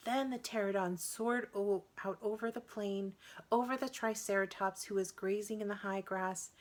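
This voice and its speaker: A woman reading a children's adventure story aloud in an expressive, dramatic narrating style. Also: on the high side. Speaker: storybook reader.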